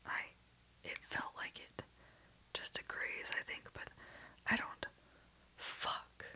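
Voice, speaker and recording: A woman's voice: slow pace, pushed and bright, poor audio. A woman whispering the part of a frightened young woman pilot who swears and panics. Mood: panicked. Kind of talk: whispered ASMR storytelling.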